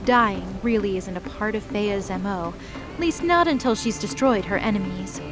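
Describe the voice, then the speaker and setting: Woman narrating a fantasy drama over music. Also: medium pitch. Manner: steady, measured storytelling.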